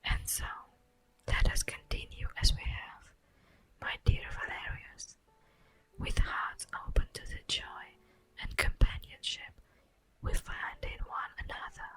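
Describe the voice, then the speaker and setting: A woman playing a solemn, tender elf guardian of the forest in a breathy, whispered ASMR roleplay, speaking formally and poetically. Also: fairly bright tone, lightly acted, low-quality recording. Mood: tender, earnest. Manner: slow, formal, poetic, drawn-out delivery.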